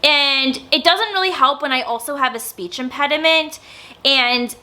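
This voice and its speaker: A young woman in a casual sit-down vlog, talking loudly and openly, with some stammering and lots of filler words. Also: sits high, moderate pace. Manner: naturally loud, with stammers and many filler words. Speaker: YouTuber. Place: indoors, sit-down filming setup.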